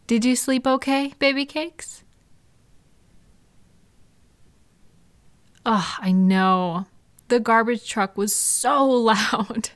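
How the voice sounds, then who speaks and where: A woman's voice: mid-range voice, close to an everyday voice. A woman playing a caring, chatty girlfriend in an intimate one-sided roleplay audio, talking affectionately and reassuringly to a partner. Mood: affectionate, playful. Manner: soft-hearted, reassuring, playful, affectionate. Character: caring, gentle, chatty girlfriend comforting a night-owl partner.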